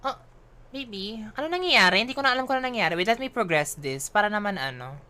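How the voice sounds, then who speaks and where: A woman's voice: mid-range voice, lively intonation, rapid-fire, sharp tone. A woman with a Filipino accent chatting and ranting casually while livestreaming a game, mixing English and Tagalog. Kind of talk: casual gaming stream chat.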